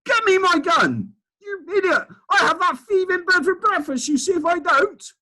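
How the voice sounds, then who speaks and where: A man's voice: high-pitched, huge pitch swings, unhurried, slightly rough, voice pushed up, heavily acted. A man voicing a pompous, short-tempered old duke who bellows and splutters. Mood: furious shouting. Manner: blustering, shouting.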